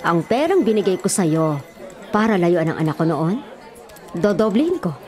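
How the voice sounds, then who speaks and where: Commanding, scheming middle-aged matriarch Lorena. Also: female voice, lowish voice, very animated, a little mellow, faint music behind, some chatter behind. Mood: calculating. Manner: measured, controlling. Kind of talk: radio drama acting.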